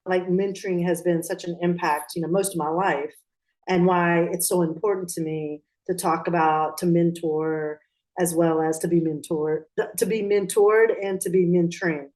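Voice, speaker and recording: A woman's voice: fairly low pitch, fairly even intonation, moderate pace, a little mellow. A woman from Pakistan who grew up in Atlanta, speaking as a guest in a conversational interview, reflective and often restarting her sentences. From Pakistan, raised in Atlanta, Georgia. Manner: reflective, with frequent restarts and fillers.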